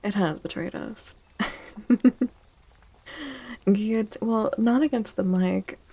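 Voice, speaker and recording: A woman livestreamer chatting and reading tarot in a soft-spoken ASMR style, playful, teasing and mock-dramatic. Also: mid-range voice, fairly even intonation, slow pace, breathy edge.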